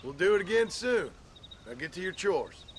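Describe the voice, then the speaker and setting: An actor playing a gruff, protective American frontier father in a video game, with a dry, folksy western drawl. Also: high voice, very animated, normal speed, slight background noise. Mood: dry, patient. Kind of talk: cinematic video game acting.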